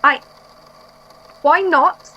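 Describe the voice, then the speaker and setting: A woman's voice: sits high, extremely slow, muffled recording, a little hiss or hum. A woman playing an easily flustered, impatient archivist who takes statements in an audio drama. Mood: flustered, indignant. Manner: formal when recording, spluttering when challenged.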